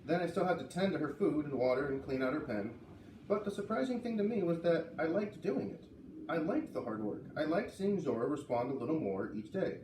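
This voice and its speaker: Guy doing an expressive kids book read-aloud, dramatic pauses, roomy echo, bit far from the mic. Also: a little high. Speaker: storybook reader. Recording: roomy, slightly distant, faint background noise.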